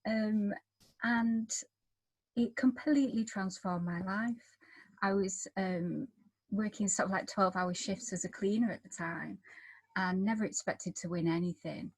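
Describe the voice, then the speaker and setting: Husky woman chatting, dry close sound. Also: mid-range voice, a little slow, no room sound, rough recording. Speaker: screenwriter on a panel. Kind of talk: casual, chatty self-introduction at an online event.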